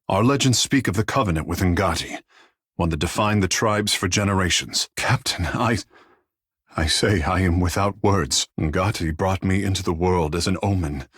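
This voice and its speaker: A man's voice: low-pitched, a bit bright, husky, dry recording, clean studio sound. An actor playing a proud, playful young godlike fish-man artist in a video game, speaking in lyrical, theatrical, flowery phrases.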